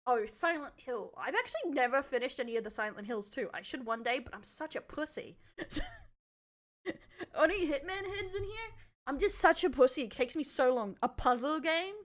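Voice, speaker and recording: A woman's voice: high voice, big pitch swings, normal speed. An Australian woman streamer giving a casual, rambling, self-deprecating reaction as she chats to her viewers. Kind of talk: casual livestream reaction chat.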